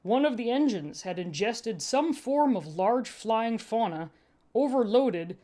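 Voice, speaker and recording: A woman's voice: a little high, expressive, measured pace, warm tone. A woman narrating a first-person story as a podfic reader, with a dry, wry delivery.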